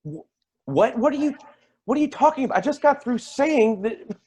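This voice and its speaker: A man's voice: very high voice, sing-song, normal speed, a little mellow, slightly rough, full character voice. An actor in a staged play reading, playing a tactless, defensive man who stammers and repeats himself. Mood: defensive, needling. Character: tactless, defensive man, rambling and awkward, named Timothy.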